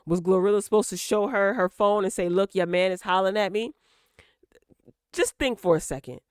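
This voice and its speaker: A woman with a smooth, clear voice and a mid-range pitch hosts casual gossip commentary. She talks straight to her listeners, emphatic and opinionated, repeating words to drive a point home. The sound is dry and close, with no room echo. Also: fairly quick. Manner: emphatic, direct address to listeners with repetition for emphasis. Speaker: host of a celebrity gossip commentary channel.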